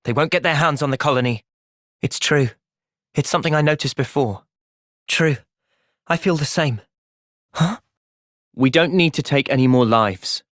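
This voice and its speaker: A man's voice: a little high, normal speed, long pauses, a bit bright, dry recording, studio quality, close to an everyday voice. A man playing an earnest, thoughtful young soldier hero with a British accent in a video game. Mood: earnest, calm. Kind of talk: video game cutscene voice acting.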